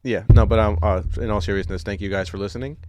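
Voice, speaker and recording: A man's voice: fairly low pitch, steady tone, fast talker, warm tone. A man co-hosting a podcast in casual, profane, stop-start banter, given to comic ranting and frequent chuckling. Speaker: podcast co-host.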